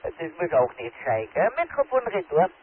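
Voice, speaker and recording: A man's voice: on the high side, wildly swooping pitch, moderate pace, a little hiss or hum. A man with a Tyrolean accent chatting on an amateur radio contact, talking into a handheld set from his garden, rambling and homely, tagging his sentences with a question. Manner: rambling, homely, ends phrases with a tag question. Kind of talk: casual radio contact chat. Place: outdoors in a garden. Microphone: handheld radio and phone held close. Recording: tinny radio transmission with crowd behind.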